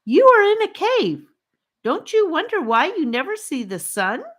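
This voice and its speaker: A woman voicing a defiant boy captive who shouts and demands answers. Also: very high-pitched, big pitch swings, unhurried, pitched above the performer's own voice, full character voice. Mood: mocking.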